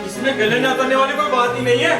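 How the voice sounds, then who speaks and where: A man's voice: very high voice, steady tone, fast. An actor playing a menacing, power-hungry village strongman politician on stage, with a domineering, rhetorical way of talking. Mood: scheming. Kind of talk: projected stage acting. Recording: distant echoey theatre hall with music.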